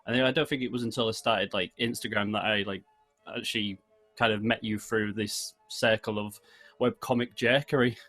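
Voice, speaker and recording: A man's voice: lowish voice, fairly even intonation, normal speed, fairly bright tone, soft background music. British man hosting a casual podcast. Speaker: podcast host. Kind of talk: casual chat.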